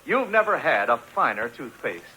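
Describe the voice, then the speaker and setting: A man's voice: medium pitch, very animated, moderate pace, bright, forceful tone, a little hiss or hum, poor audio. An American man reading a 1940s radio advertisement as an emphatic, salesman-like announcer on an old broadcast. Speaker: commercial announcer.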